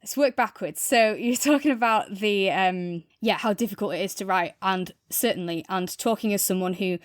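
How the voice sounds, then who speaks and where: A woman's voice: mid-range voice, moderate pace, dry recording, clean studio sound. A woman co-hosting a podcast, chatting casually and enthusiastically as she reviews. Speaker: podcast co-host reviewing audio dramas.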